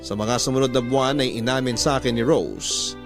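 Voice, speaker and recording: A man giving scripted first-person narration for a radio drama in a measured, sentimental storytelling manner. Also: medium pitch. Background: guitar and violin music.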